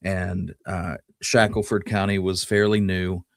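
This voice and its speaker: A man's voice: deep voice, flat delivery, a little slow, vocal fry, dry recording, studio quality. A man co-hosting a history show, explaining things in an informal, conversational way. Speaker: co-host of a Western history show. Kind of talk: casual conversational history discussion.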